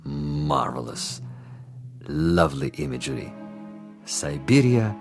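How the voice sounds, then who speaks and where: An actor playing a suave, menacing Soviet commissar in a radio drama, polished, theatrical and mockingly polite. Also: male voice, low-pitched, sing-song, slow pace, vocal fry, music in the background, exaggerated voice. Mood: sly needling. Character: suave, menacing Soviet commissar, a self-styled artist of death.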